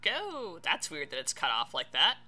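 A woman giving casual, animated commentary as she streams a video game let's play. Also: sits low, moderate pace. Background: game music.

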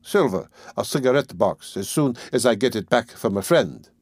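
A man's voice: medium pitch, lively intonation, normal speed, a little mellow, slightly rough, no echo, clean studio sound. A man voicing a poor, tense young man who talks in a terse, guarded, brooding way.

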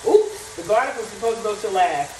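A woman's voice: medium pitch, expressive, fairly quick, a little mellow. A woman chatting casually while she cooks in her home kitchen, thinking aloud and reading recipe steps. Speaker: cooking vlogger. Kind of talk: casual cooking vlog chat. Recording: echoey kitchen, mic at a distance, frying noise. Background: sizzling and stirring in a pan.

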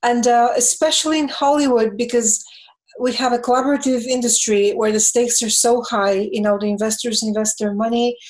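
A woman in her fifties from Bulgaria, speaking English with a Bulgarian accent, answers interview questions over a video call from home, thoughtful and often pausing with "you know". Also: a little high, steady tone, low-quality recording. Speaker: film and game composer, guest. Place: home room with bookshelves. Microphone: webcam on video call.

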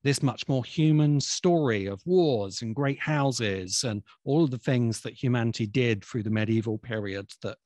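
A man in his forties explaining things conversationally in a thoughtful, hesitant way, pausing often, speaking into a close desk microphone. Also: medium pitch, a little mellow, no room sound. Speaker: guest expert on science fiction. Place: indoor room on a video call.

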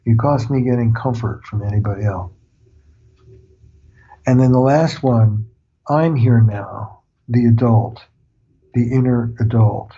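Older male therapist guest, mellow, husky, earnest explainer, slightly dull audio. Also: mid-range voice, measured pace, pauses often. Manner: earnest, explanatory, uses analogies. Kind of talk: reflective interview talk.

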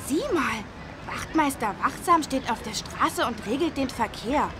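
Young cartoon schoolboy, high lively voice, eager, knowing, helpful, street noise behind. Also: female voice, a little slow. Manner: eager, explanatory. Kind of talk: children's learning game voice acting.

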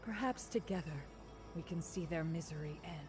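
Actress as an earnest, low-voiced warrior woman. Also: moderate pace, lightly acted. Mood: earnest, urgent. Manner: solemn, determined. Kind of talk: fantasy video game voice acting. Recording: game music behind the voice.

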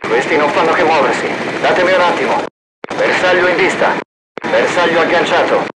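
A man's voice: on the high side, fairly quick, heavy background noise, natural voice. An actor playing a male mercenary gunship pilot making clipped radio callouts. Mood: focused. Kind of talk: video game voice acting.

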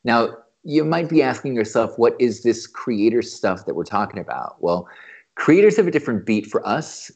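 A man's voice: mid-range voice, sing-song, moderate pace, darkish tone, husky, highs cut off. A middle-aged man co-hosting a podcast over a video call, reading an upbeat intro and asking questions. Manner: upbeat, presenter-like. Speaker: podcast co-host. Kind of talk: scripted intro then interview questions. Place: living room. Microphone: video call with earphones.

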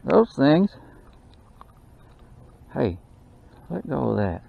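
Slow gravelly man on a boat. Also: mid-range voice. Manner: excited, drawling exclamations and mutters to the fish. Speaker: fishing channel host. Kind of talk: casual running commentary while fishing. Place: small boat on a lake, outdoors. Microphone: action camera mounted on the boat. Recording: dull action-camera audio with faint noise.

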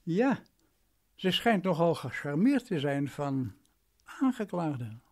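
A man playing a condescending, self-important lawyer in a radio drama, pompous and deliberate. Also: on the high side, very animated, a little mellow, heavily acted. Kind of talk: radio drama acting in Dutch.

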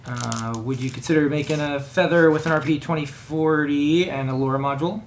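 A man's voice: fairly high pitch, fairly quick. A man co-hosting a livestream, casually relaying questions from viewers.

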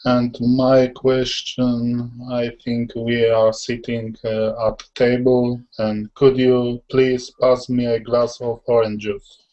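A man's voice: medium pitch, monotone, slow, few pauses, warm tone. A man from Poland with a Polish accent, answering in class as an English learner. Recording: dull lo-fi video call.

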